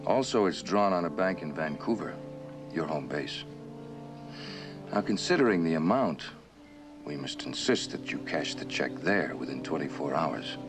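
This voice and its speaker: An actor playing a controlling businessman, a tycoon's henchman, polite and quietly commanding in a mid-century suspense drama. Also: rumbling low, lively intonation, moderate pace, over music. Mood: coolly threatening.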